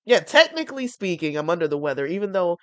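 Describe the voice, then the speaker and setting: A woman's voice: lowish voice, sing-song, fast, a bit bright, dry recording. Congested woman hosting a porch chat. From North Carolina. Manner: rambling, enthusiastic, restarts often. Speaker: podcast host.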